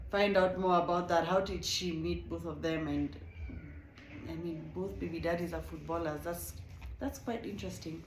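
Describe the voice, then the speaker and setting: A young woman with a Kenyan accent talks through a reality show in a chatty, animated way, often stopping to correct herself. Her voice sits a little low. The room around her has a noticeable echo. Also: slight background noise. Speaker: reality TV reviewer. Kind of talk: casual video review.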